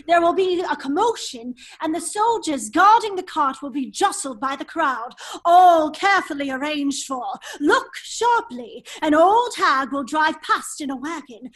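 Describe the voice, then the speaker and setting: A woman's voice: high-pitched, fairly bright tone. A woman reading the part of a daring English baronet with a secret double life in a radio drama. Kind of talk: table read of a 1930s-style radio drama.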